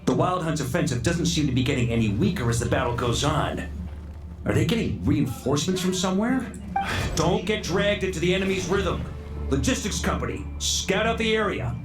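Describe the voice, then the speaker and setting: A man's voice: fairly high pitch, animated, a little fast, close to an everyday voice. An actor voicing a confident male military commander who gives decisive orders. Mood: commanding. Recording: game audio with reverb over music.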